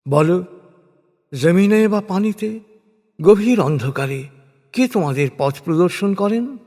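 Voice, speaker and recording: A man reading scripted religious narration in a solemn, measured, declamatory way, with deliberate pauses. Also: high voice, animated, a little mellow. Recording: long reverberant hall echo.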